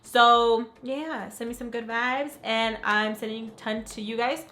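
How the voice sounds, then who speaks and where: A young woman who is sick and raspy, chatting excitedly in a casual beauty unboxing. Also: on the high side, unhurried. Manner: gushing, upbeat, says "freaking" a lot. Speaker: beauty vlogger. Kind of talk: casual unboxing chat to camera.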